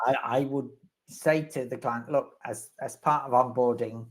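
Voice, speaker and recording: A man's voice: medium pitch, steady tone, measured pace. A man answering questions as the resident expert in a live webinar, rambling and wry, with self-deprecating humor.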